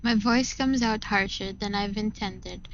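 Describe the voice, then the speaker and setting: A woman's voice: mid-range voice, a little slow, lo-fi sound. A woman reading first-person visual novel narration in a dramatic storytelling style. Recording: music behind the voice.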